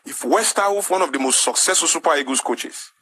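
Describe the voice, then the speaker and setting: Man, Nigerian English accent, high-ish voice, husky, forceful, emphatic rhetorical delivery. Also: animated, a little fast. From southwest Nigeria. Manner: emphatic, rhetorical, repeats key phrases. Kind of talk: impassioned talk-show argument.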